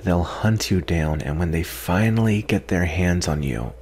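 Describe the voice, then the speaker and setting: Low, slow-spoken guarded male vampire, acted by a man. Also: a little mellow, a little hiss or hum. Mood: resigned, serious. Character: guarded male vampire, weary, wry, secretly tender. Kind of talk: ASMR roleplay, intimate scripted acting.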